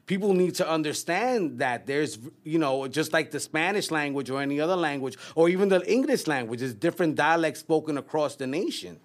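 A man's voice: fairly high pitch, expressive, brisk, few pauses. A man hosting a casual podcast interview, wordy and often repeating phrases as he talks. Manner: wordy, repeats phrases while forming questions. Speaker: podcast host.

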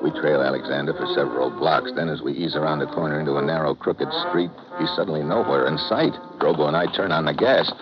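An actor playing a tough, wisecracking American investigator, narrating wryly in clipped old-time radio style. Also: male voice, rumbling low, fast, hardly any pauses, loud music behind the voice. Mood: tense narration. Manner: wry, clipped, hard-boiled. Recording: muffled, hissy old radio recording.

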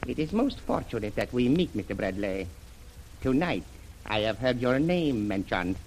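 A faintly noisy old radio recording carries a courteous Chinese shipping magnate. He is a man of medium pitch and measured, formal speech. He talks in wise sayings, often dropping small words, in classic adventure serial style. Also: big pitch swings, darkish tone. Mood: courteous, assured. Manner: formal, measured, proverb-laden, dropping articles.

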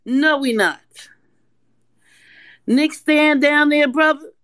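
A hoarse woman calling in to a live fan audio room, talking loud and emphatic, with some swearing. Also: high voice, takes it slowly, dry recording. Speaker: caller. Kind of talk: casual fan chat.

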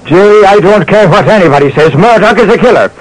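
A man's voice: high-pitched, lively intonation, fast talker, voice pushed up. A man playing an obsessed, insistent fire battalion chief in an old-time radio crime drama. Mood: angry, bitter. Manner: emphatic, insistent. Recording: noisy old radio recording.

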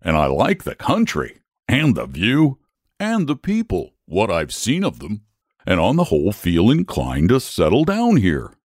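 Casting: male reader as genial, lazy poet dragon for a storybook; low, swooping delivery, genteel and chatty. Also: wildly swooping pitch, a little slow, no room sound, clean studio sound, exaggerated voice. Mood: rambling, content. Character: amiable, bashful, lazy male dragon, cheerfully poetic. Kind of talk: storybook character voice.

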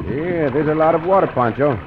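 An actor playing a courteous, dashing Mexican caballero hero who speaks formally with a Mexican Spanish accent, in 1940s radio acting. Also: male voice, a little high, fairly quick, natural voice. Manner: formal, gallant, calm reasoning. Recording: muffled old radio recording with faint hiss.

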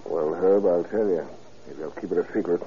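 An actor playing a tough, cocky gangster with a slangy, sardonic swagger in a 1930s radio crime drama. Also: male voice, low-pitched, brisk, dark tone, close to an everyday voice. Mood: sly, confiding. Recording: muffled old radio recording, faint noise.

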